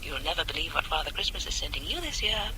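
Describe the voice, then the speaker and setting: An actress playing a spirited, playful, self-assured young expectant wife in a radio drama. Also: female voice, low-pitched, expressive, fast, over loud music. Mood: secretive excitement. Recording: noisy old radio drama recording.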